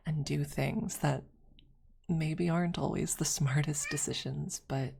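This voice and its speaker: A woman chatting casually on an ASMR livestream, soft-spoken and halting, close to a streaming microphone. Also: low-pitched, fairly even intonation, creaky, slightly rough.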